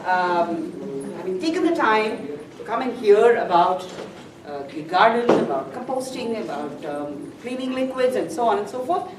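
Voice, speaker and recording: A woman's voice: medium pitch, very animated, normal speed. A woman speaker giving a chatty, self-deprecating talk on composting in a library room. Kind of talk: informal presentation. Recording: echoey room, far from the mic, noisy.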